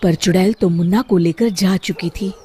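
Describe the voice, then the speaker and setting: A woman narrating a scripted children's story in a dramatic, expressive way. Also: lowish voice, normal speed, breathy edge.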